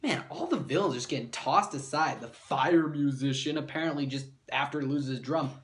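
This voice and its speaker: A man's voice: on the high side, normal speed. A man hosting a scripted comedic review, narrating in a sarcastic, mildly profane way. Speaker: comic book reviewer and host.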